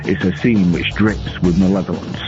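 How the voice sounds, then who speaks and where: Low-voiced man narrating over music. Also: steady tone, normal speed. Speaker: film reviewer, guest contributor. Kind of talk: scripted film countdown narration. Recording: dull lo-fi recording under music.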